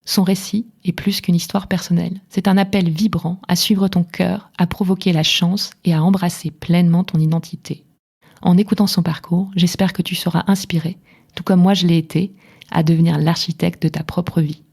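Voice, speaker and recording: A woman's voice: fairly low pitch, level tone, normal speed, gravelly, darkish tone, slightly rough. A young woman hosting an interview podcast, who talks hesitantly with many fillers and restarts. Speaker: podcast host and coach.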